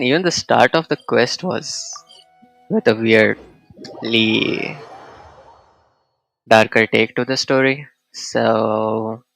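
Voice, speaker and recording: A man giving casual, halting gameplay commentary as a streamer, musing in broken phrases. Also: mid-range voice, creaky, fairly bright tone, dry recording, poor audio. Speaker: gaming streamer.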